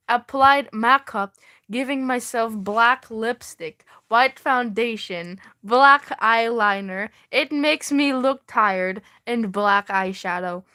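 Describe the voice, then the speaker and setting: Bright-voiced young woman, slow and self-mocking. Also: fairly high pitch. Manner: self-mocking, swears, laughs often, breaks off mid-sentence. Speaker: streamer reading her old fan fiction. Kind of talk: casual livestream reading with asides.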